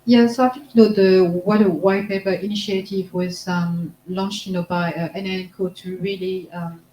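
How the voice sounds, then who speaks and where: A woman with a French accent speaks on a panel at a mid pitch, full of "like, you know" fillers. The room is echoey, with a faint hum behind her. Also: little pitch movement, moderate pace, mellow. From France. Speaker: panelist, marketing manager. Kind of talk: panel discussion.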